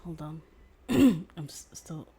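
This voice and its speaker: Low-pitched, mellow woman streamer. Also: expressive, slow pace, husky. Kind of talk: casual livestream chat while gaming.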